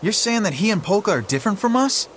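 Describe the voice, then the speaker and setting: A brash young man, blunt and sarcastic. His voice sits high and swings widely in pitch. Faint noise runs under it. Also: big pitch swings, fast, a bit bright. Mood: skeptical. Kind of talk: video game cutscene dubbing.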